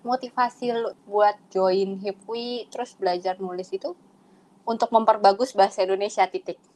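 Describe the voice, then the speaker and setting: Relaxed young woman host. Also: mid-range voice, normal speed, rough recording. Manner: relaxed, teasing, mixes in English words. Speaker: podcast host. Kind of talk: casual podcast chat.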